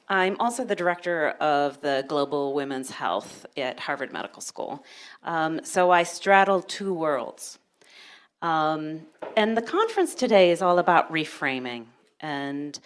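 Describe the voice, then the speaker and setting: A woman from Sudan, a gynecologist speaking on a conference panel, who talks in a measured way and leads the audience through questions. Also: deep voice. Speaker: panelist, OB-GYN. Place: lecture hall.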